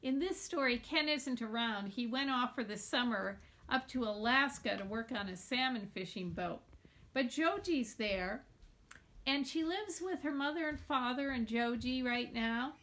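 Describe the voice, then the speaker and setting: A woman reading a children's novel aloud in a deliberate, expressive storytelling manner, like a teacher with a class book. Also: fairly high pitch.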